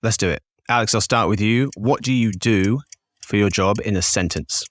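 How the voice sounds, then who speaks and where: A man's voice: fairly low pitch, normal speed, no echo, studio quality. A man hosting a business podcast in a cheery, jokey, chatty way. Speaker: podcast host.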